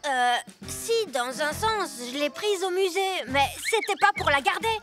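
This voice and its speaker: A woman's voice: extremely high pitch, lively intonation, measured pace, over music. A woman voicing an earnest, indignant young boy superhero who protests that he is misunderstood, in a French cartoon dub. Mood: defensive.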